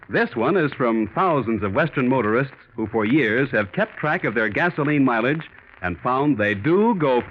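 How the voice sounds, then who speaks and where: A man's voice: medium pitch, a little hiss or hum. An American man working as a radio announcer, reading scripted sponsor messages with polished, emphatic 1940s salesmanship. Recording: muffled 1940s radio broadcast recording.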